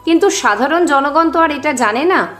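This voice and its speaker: A woman reads a scripted drama as its narrator. Her voice sits a little high and carries a noticeable echo. Music plays faintly beneath the storytelling. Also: lively intonation, moderate pace, fairly bright tone. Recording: roomy echo with music underneath.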